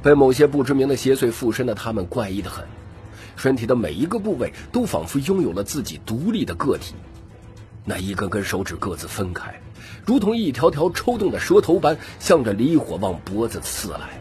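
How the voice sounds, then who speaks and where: A man's voice: medium pitch, big pitch swings, brisk, a little mellow, soft background music. A man narrating scripted fantasy fiction dramatically as an audiobook reader.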